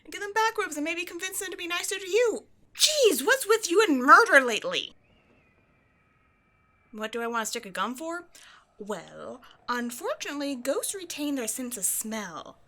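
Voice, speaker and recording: A woman's voice: sits high, sing-song, normal speed, sharp tone, exaggerated voice. A woman playing a petty, theatrical ghost from an old horror film in a scripted comedic audio roleplay, mock-sinister and easily exasperated. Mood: chatty, matter-of-fact.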